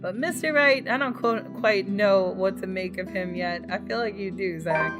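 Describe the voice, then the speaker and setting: A woman's voice: mid-range voice, normal speed. Woman reading over guitar music. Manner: expressive, reacts aloud to the story. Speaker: gaming YouTuber. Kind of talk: reading a visual novel aloud with commentary.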